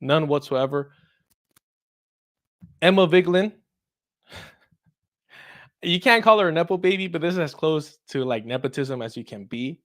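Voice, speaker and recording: Casting: male talk host, fairly high voice, irreverent and sweary, given to chuckles during casual political commentary. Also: expressive, a little slow, long pauses, a bit bright.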